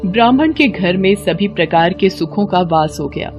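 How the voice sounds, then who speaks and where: A woman's voice: fairly low pitch, lively intonation, normal speed, darkish tone, dull audio. A woman storyteller reading a scripted bedtime story narration. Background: music.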